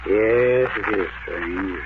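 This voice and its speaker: An actor playing a calm, matter-of-fact morgue attendant in a 1940s radio drama. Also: male voice, on the low side, extremely slow, phone-quality sound. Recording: phone-thin old radio recording with loud hiss.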